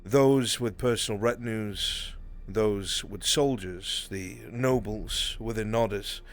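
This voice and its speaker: A man playing a proud, dutiful military lord in a fantasy roleplay, speaking in formal, measured, deferential phrases. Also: on the low side. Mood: earnest, insistent.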